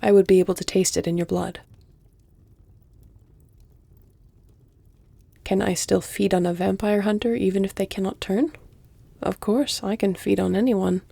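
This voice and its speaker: Close and very dry, this is a seductive vampire lady performed by a woman for an audio roleplay. Her voice is dark and mellow with a gravelly creak, often sinking toward a whisper. She speaks formally and deliberately, teasing as she goes. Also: medium pitch, fairly even intonation, no echo. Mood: coolly intrigued. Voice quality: breathy, hushed, often near whisper. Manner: slow, deliberate, formal, teasing, breathy delivery. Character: flirtatious, seductive, elegant female vampire. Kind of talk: intimate audio roleplay, scripted. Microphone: close, dry microphone.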